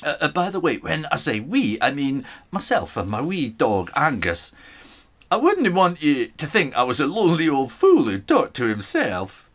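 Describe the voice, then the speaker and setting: A man acts out a cranky, proud Scottish farmer from a remote island. He tells his storybook tale with folksy emphasis. The exaggerated Scottish accent rises and falls in big, lively swoops. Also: a little high, normal speed. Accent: Scottish, put on and exaggerated. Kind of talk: storybook read aloud in character.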